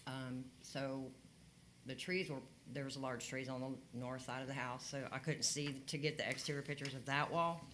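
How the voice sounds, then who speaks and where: A man's voice: medium pitch, fairly even intonation, normal speed, noisy background. A man working as a code enforcement officer, reporting his findings at a county hearing in a plain, matter-of-fact way with frequent fillers.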